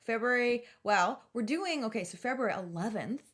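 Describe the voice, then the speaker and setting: A woman's voice: on the high side, animated, normal speed, fairly bright tone. A woman hosting a podcast, chatting casually in an informal, rambling, enthusiastic way. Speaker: podcast host. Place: podcast recording.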